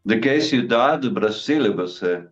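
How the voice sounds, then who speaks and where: A man's voice: lowish voice, expressive, normal speed, muffled recording, faint music behind. A man from Argentina whose first language is Spanish, an actor being interviewed, giving brief, agreeable replies in English. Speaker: actor guest. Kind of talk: casual interview answers.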